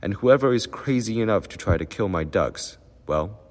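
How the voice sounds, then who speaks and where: A man's voice: lowish voice, animated, normal speed, in a large hall, voice pushed up, close to an everyday voice. A man voicing a defiant old male peasant who talks in a blunt, coarse way. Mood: shouting. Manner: blunt, coarse, toneless at times.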